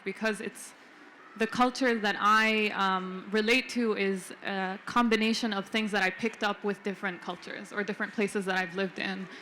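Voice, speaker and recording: A young adult woman, born in the Philippines and raised in several countries, answering thoughtfully on a panel with many "um" pauses. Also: medium pitch, sharp tone, a little hiss or hum. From Philippines-born, raised in Jordan, Kenya, India. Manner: reflective, frequent um fillers. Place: book fair panel stage.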